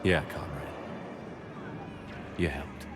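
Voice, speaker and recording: A man playing a calm, supportive military commander in a video game, speaking in a measured, understated way. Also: rumbling low, a crowd in the background, background noise, heavily acted. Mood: level, attentive. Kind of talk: game dialogue acting. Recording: reverberant, faint music behind.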